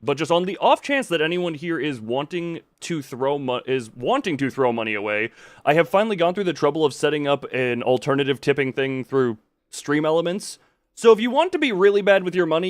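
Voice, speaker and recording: A man's voice: a little high, no room sound. A man giving casual livestream commentary on a game, rambling and breaking off his own sentences with wry asides. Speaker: video game streamer.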